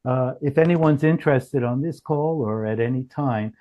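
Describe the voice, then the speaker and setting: A man presenting as a company founder in a business pitch on a group call, speaking haltingly with frequent restarts. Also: dry recording, lo-fi sound. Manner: halting, often restarts phrases. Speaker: startup founder presenting his product.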